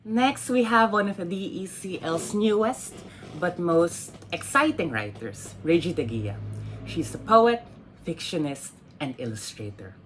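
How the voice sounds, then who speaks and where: A woman host delivers a formal introduction in a deep voice with animated, swinging intonation. There is faint background noise. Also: a little slow, rough recording.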